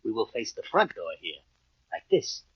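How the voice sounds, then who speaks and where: A man's voice: fairly low pitch, big pitch swings, moderate pace, breathy edge, dry recording, heavily acted. An actor playing a menacing, mock-courteous male gang boss in a stilted, formal 1940s radio crime drama style. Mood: calm, commanding. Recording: dull, lo-fi old radio recording.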